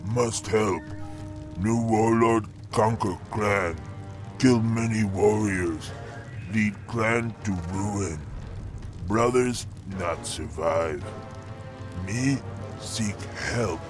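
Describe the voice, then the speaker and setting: An actor voicing a blunt, brutish male ogre warrior in a video game, gruff and halting, in broken grammar. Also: fairly low pitch. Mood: pained, pleading. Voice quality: gruff, growly. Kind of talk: video game cutscene acting. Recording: music behind the voice.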